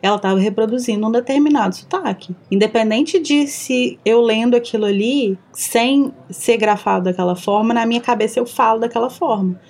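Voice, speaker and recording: A woman's voice: a little high, brisk, few pauses, a little mellow. A woman with a strong Minas Gerais accent, co-hosting a book-discussion podcast in a playful, chatty way. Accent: Minas Gerais Portuguese. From Minas Gerais, Brazil. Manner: playful, joking, chatty with many fillers. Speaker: podcast co-host. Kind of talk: casual chat.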